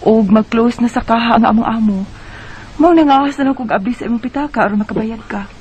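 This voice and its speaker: A fairly high female voice with a Bisaya Filipino accent, slightly dull in the recording , a woman acting. She is a kindly, frank waitress in a radio drama, explaining things plainly. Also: a little fast, darkish tone, natural voice. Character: kind, frank restaurant waitress helping a drunk customer. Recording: dull lo-fi sound, faint noise.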